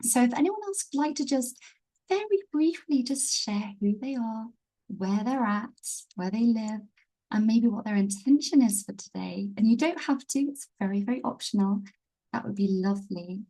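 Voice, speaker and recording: A woman hosting a live online healing webinar, easygoing and gently encouraging, speaking over a video call. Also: fairly high pitch, expressive, moderate pace, low-quality recording. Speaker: webinar host and healing teacher.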